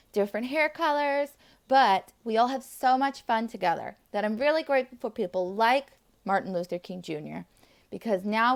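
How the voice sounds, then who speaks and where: A woman preschool teacher reading aloud to young children, enthusiastic, with friendly asides to her little listeners. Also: a little high.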